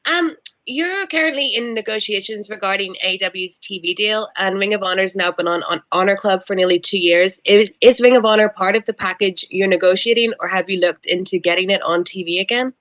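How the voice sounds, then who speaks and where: A woman reporter with a medium-pitched voice asks a question during a media conference call. She speaks in an unscripted, question-and-answer style. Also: a little fast, fairly bright tone, poor audio.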